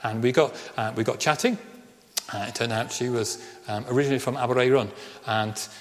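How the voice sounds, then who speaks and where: A middle-aged male vicar with a Birmingham accent tinged by Welsh Ceredigion vowels, preaching in an earnest storytelling voice. His voice sits at an ordinary pitch, and he slows right down to stress key phrases. A long church reverb trails after each line. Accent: Birmingham with Welsh Ceredigion touches. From Birmingham, England. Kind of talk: sermon with personal story.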